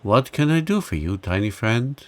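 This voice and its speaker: A man voicing a noble, gentle lion in a storybook reading, speaking pleasantly and kindly. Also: medium pitch, big pitch swings, normal speed, close to an everyday voice. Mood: friendly.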